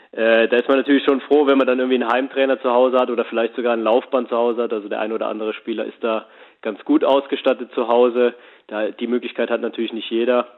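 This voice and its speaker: A man, a sports fitness coach, speaking as a phone guest in a casual interview and explaining at length with many fillers. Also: medium pitch. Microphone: telephone. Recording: tinny phone line.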